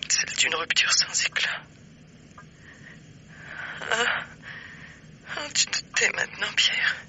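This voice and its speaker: Bright-voiced anguished woman in love. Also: medium pitch, expressive, slow pace, heavily acted. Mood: bitter, resigned. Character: anguished woman in love, bidding a final farewell. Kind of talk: 1950s French radio drama acting. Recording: noisy old radio drama recording.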